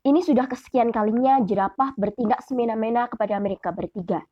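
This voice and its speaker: A woman's voice: fairly high pitch, fast talker, dry recording, lo-fi sound. A young woman reading a scripted children's fable as storyteller. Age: young adult. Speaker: storyteller, student.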